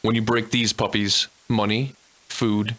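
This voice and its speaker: A man voicing a folksy, easygoing guide who explains things colloquially and in a measured way for a video game tutorial. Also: lowish voice, little pitch movement, a bit bright, rough recording. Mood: fond, instructive.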